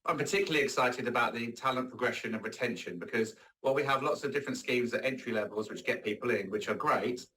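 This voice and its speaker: A man's voice: medium pitch, quick pace, few pauses, bright, forceful tone. A man speaking in an interview-style testimonial. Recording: distant mic, faint room noise.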